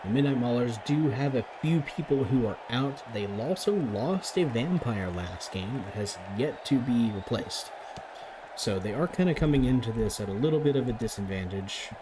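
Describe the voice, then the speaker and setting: A man giving chatty, upbeat live commentary on a game as league commentator, his voice getting a little raspy. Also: medium pitch, animated, moderate pace, background noise. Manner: chatty, upbeat play-by-play with frequent restarts.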